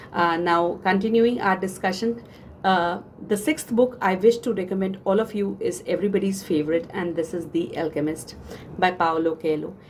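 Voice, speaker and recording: A woman with an Indian accent speaking as a teacher, giving a measured, instructive presentation to students. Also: mid-range voice, darkish tone, slight background noise. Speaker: teacher at a coaching institute. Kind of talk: educational book recommendations, semi-scripted presentation.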